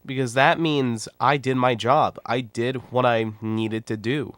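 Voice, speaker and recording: A man's voice: mid-range voice, steady tone, a little slow, fairly bright tone, no room sound. A man in his twenties with a nasal, stuffy voice from a cold, talking bluntly and rambling in casual livestream commentary. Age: 20s. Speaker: YouTuber and streamer.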